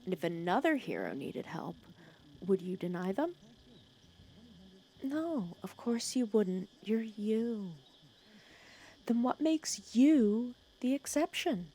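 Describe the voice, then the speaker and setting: A woman playing a protective, teasing female villain who scolds and cares for her hero nemesis in an ASMR roleplay, sarcastic and often dropping to a hush. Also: mid-range voice, expressive, takes it slowly, long pauses, full character voice. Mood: exasperated scolding. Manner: scolding yet caring, sarcastic, dropping into hushed breathy asides. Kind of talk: ASMR roleplay audio drama.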